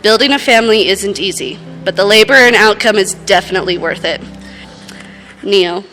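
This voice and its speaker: A woman giving a prepared, heartfelt wedding toast as a sister, in an earnest, measured way, at a reception. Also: mid-range voice, lively intonation, a bit bright, soft background music. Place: wedding reception, speaking to guests.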